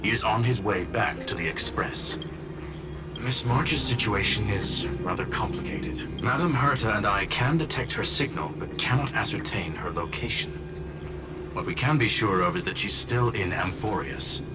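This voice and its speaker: A man's voice: fairly low pitch, lively intonation, moderate pace, close to an everyday voice. A man playing a courteous, analytical robot scholar in a video game, speaking formally with clipped announcements. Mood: matter-of-fact. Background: game music.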